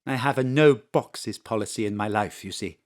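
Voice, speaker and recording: An actress playing a brisk female city mayor who speaks formally and politely, then bluntly. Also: a bass voice, darkish tone. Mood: businesslike. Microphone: home studio mic.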